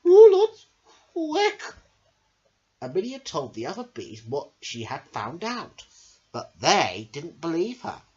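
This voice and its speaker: Female children's storyteller, deep register, slow delivery, hugely expressive and direct, slightly muffled lo-fi sound. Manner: expressive, addresses young listeners directly. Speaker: storyteller and host. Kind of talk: animated children's storybook reading.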